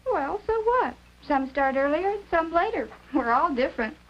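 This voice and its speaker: A woman's voice: high voice, expressive, normal speed. A woman playing an exasperated, matter-of-fact teenage American big sister in a 1950s educational film. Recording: dull, faintly noisy 1950s film soundtrack.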